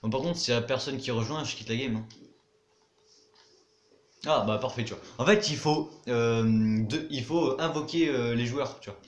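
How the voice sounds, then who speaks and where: A man's voice: medium pitch, moderate pace, reverberant. A young man giving casual commentary over his own gameplay, chatty, muttering to himself and swearing now and then. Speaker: gaming YouTuber.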